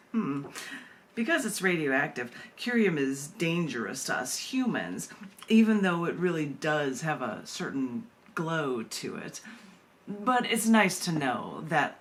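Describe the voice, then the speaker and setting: A woman poet reading at a live open mic, animated and self-amused, with rambling, chatty asides. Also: low voice.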